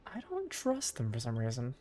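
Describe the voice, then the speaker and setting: A man's voice: mid-range voice, huge pitch swings, normal speed, darkish tone, soft background music. A man giving casual gameplay commentary as a game streamer.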